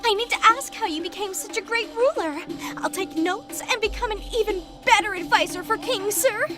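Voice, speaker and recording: A woman's voice: extremely high pitch, moderate pace, music in the background. A woman voicing an eager, devoted young sheep-girl advisor in an audio drama, bubbly and obedient. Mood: gushing gratitude.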